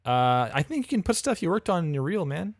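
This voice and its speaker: A man's voice: on the high side, sing-song, a little fast, no room sound, clean studio sound. A man hosting a livestream, chatting casually and giving frank feedback on game audio reels. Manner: chatty, frank, full of restarts and fillers.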